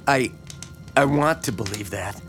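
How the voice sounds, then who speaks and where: A man's voice: medium pitch, measured pace, fairly bright tone, faint music behind, lightly acted. An actor playing a lonely, timid small-town man with a hesitant, earnest manner in a radio horror drama. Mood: hesitant, awed.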